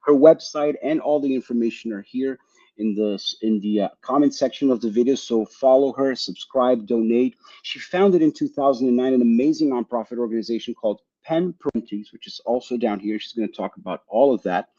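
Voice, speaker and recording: Male podcast host, eager and encouraging, warm tone, muffled recording. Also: mid-range voice, a little fast. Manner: enthusiastic, encouraging. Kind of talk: podcast interview.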